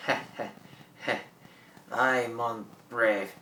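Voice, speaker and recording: An actor playing an ancient French pirate captain in a radio drama, proud and ailing, with halting speech and French exclamations. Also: medium pitch, steady tone. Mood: defiant, boastful. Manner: theatrical, boastful, peppered with French exclamations. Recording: echoey room, distant mic, background noise.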